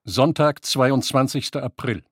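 A man's voice: mid-range voice, a little slow, husky, no echo. A man reading out dates, names and headings as a scripted announcer.

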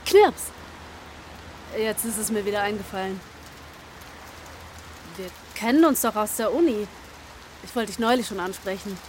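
A woman's voice: mid-range voice, lively intonation, normal speed, long pauses, a little mellow, natural voice. An actress playing an odd, pushy and needy young female student in a radio drama, abrupt and searching in her speech. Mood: eager, searching. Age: young adult. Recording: clearly noisy rainy street ambience.

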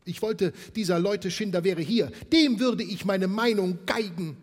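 A man voicing a sharp-tongued working-class mother, scolding loudly and quickly. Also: big-room sound. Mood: indignant. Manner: shrill, fast indignant scolding.

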